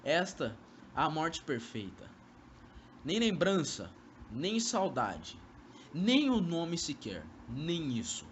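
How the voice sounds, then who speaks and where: A man's voice: on the high side, huge pitch swings, slow pace, a bit bright, a little hiss or hum, exaggerated voice. A young man acting a sly, coaxing former lover in an amateur radio drama, speaking Brazilian Portuguese with poetic, theatrical delivery. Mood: brooding, poetic.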